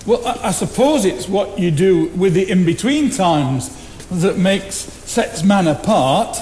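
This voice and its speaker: High-voiced, stammering, kindly bumbler. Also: male voice, expressive, takes it slowly, a little mellow. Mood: earnest. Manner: stammering, hesitant, folksy. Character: kindly, bumbling, loyal male friend of a recluse. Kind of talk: stage play acting. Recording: echoey theatre stage with background noise.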